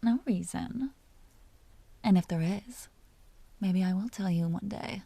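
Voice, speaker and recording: A woman playing a commanding, teasing vampire duchess with a tender side, speaking softly and often in whispers in an intimate audio roleplay. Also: mid-range voice, slow, dry recording. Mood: possessive, playful. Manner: soft, breathy, often dropping into whispers; teasing, possessive, imperious.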